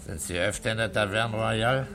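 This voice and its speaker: Low-voiced, terse police commissioner. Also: male voice, a little fast, a bit bright. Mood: calm, probing. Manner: curt, authoritative questioning and ordering. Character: stern, terse, unhurried middle-aged male police commissioner. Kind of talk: 1960s German radio play acting.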